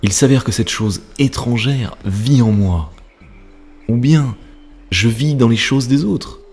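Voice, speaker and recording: A man's voice: medium pitch, takes it slowly, a little mellow, slightly rough, soft background music. A man voicing a lost, troubled cartoon character who keeps questioning who he is. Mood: bewildered. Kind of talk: acted cartoon dialogue.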